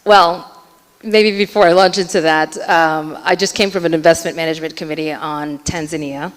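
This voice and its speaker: Keynote speaker, woman with a low voice, earnest, filler-heavy delivery, in a hall with long reverb. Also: fairly even intonation, normal speed. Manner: earnest, hedging with frequent fillers. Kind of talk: conference panel answer. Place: conference hall.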